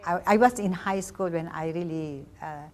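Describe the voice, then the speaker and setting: A woman from the Philippines speaks with a Filipino accent and a low voice. She is a physics instructor explaining her work as if in a documentary interview. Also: normal speed. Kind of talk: documentary interview and teaching talk.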